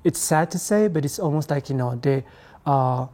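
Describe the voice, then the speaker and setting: A man, a guest telling his life story in an interview, speaking in a reflective, halting way. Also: a little high, animated, warm tone. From Ethiopia, raised in France. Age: 20s. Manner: reflective, hesitant, halting phrasing. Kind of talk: conversational interview.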